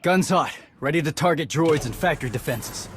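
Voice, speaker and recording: An actor playing a stoic, loyal warrior scout in a video game, terse and matter-of-fact with a husky voice. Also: male voice, on the high side, expressive, a little fast, soft background music, lightly acted. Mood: terse, resolute.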